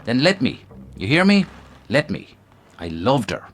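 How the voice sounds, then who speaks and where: An actor playing a stubborn, bitter, grieving veteran cop with an intense, defiant manner in a noir radio drama. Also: male voice, medium pitch, sing-song, measured pace. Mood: pleading, grieving.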